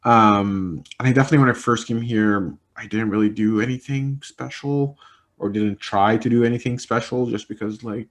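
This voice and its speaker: A young man from Egypt, an Arabic native speaker, with a fairly low voice. He answers interview questions in a relaxed, meandering way. He repeats himself and fills gaps with "like" and "um" as he thinks. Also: normal speed. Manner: rambling, many fillers and restarts. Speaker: interviewee, communication specialist.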